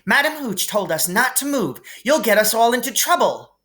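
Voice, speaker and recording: A man's voice: very high voice, sing-song, moderate pace, pushed and bright, pitched above the performer's own voice, heavily acted. A man voicing a bossy, anxious schoolgirl who scolds urgently, in a storybook reading. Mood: alarmed scolding.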